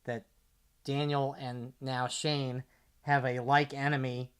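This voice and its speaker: Man, mid-range voice, podcast co-host, casual sports analysis, measured, point-by-point delivery. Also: mid-range voice, level tone, fairly bright tone, clear, resonant tone.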